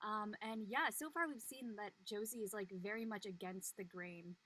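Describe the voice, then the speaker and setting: Bright-voiced chatty woman. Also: mid-range voice, moderate pace, poor audio. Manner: chatty, frequent "like" and "you know". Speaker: voice actor on a live show. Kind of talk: casual chat about her character.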